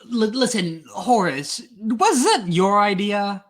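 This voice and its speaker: An irritable radio performer in a vintage-style radio play , acted by a man. His voice swings widely in pitch. He sounds brusque and easily exasperated. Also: animated, slow, full character voice. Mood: suspicious. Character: irritable male radio actor, Ed Henley. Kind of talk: old-time radio drama acting.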